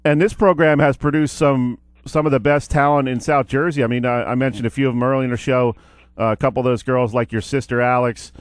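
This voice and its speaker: A man's voice: medium pitch, fairly even intonation, fast, no room sound. Chatty male sports radio host. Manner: chatty, with frequent fillers and restarts. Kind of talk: casual radio interview. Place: radio studio.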